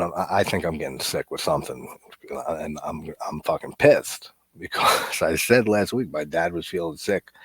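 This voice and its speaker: A man's voice: sits low, no room sound. A man in his thirties, congested and coming down with a cold, giving a rambling casual commentary on a horror film, full of fillers and offhand swearing. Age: 30s. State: congested, getting sick.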